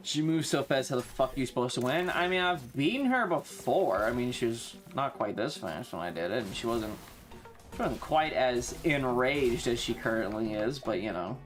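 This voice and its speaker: A woman live-streaming a video game, chatting casually with slangy, sweary exclamations. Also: extremely low pitch. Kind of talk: casual gaming stream commentary.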